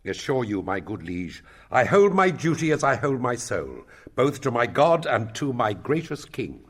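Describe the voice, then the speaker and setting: Male actor as long-winded old counsellor, pompous, fussy, husky, animated pitch, Shakespearean drama. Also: normal speed. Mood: self-satisfied. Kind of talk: Shakespearean stage-style acting.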